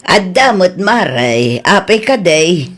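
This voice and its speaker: A woman's voice: on the low side, very animated, takes it slowly, fairly bright tone, exaggerated voice. A woman playing a dismayed middle-aged village mother in a radio drama, exasperated and drawing out her words. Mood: puzzled. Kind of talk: Ilocano comedy radio drama acting.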